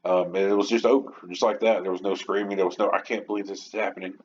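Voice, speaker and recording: A man's voice: deep voice, steady tone, fast. A man hosting a podcast, giving a casual, rambling, opinionated monologue in an informal way. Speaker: podcast host. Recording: muffled lo-fi home recording, background noise.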